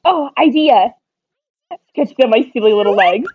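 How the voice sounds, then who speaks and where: High, lively woman doing a cartoon dub. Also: unhurried, breathy edge, highs cut off. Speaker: amateur fandub voice performer. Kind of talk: exclamatory cartoon fandub.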